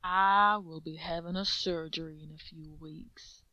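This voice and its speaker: A big, quiet cartoon farm stallion, acted out by a woman for a fan story. The delivery is slow, folksy and deliberate, with a bright edge and a dry, close sound. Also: deep voice, fairly even intonation, dry recording, rough recording. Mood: earnest confession.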